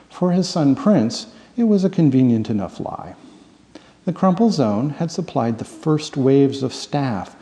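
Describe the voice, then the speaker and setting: Expressive, gravelly male storyteller in reverberant space. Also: medium pitch. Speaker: author reading own novel. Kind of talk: audiobook-style narration of fiction. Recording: long reverberant hall-like echo.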